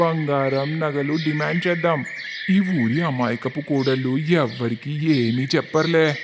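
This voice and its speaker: A man's voice: on the high side, lightly acted. Man playing a scheming village blackmailer, noisy recording. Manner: scheming, menacing. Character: gloating, greedy male village blackmailer. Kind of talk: cartoon story acting.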